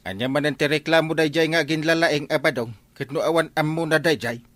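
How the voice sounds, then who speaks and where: An actor in a comedy radio drama playing a blustering, evasive older village captain, grumbling and full of exclamations. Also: male voice, fairly high pitch, steady tone, fairly quick, a bit bright, no echo, close to an everyday voice. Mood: dismissive.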